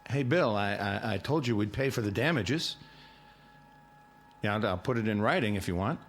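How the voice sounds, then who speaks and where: Male actor as conciliatory neighbor, radio drama. Lowish voice, stammering, uneasy. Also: lively intonation, moderate pace, slight background noise, lightly acted. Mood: apologetic. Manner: hesitant, stammering. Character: conciliatory, uneasy suburban neighbor offering amends.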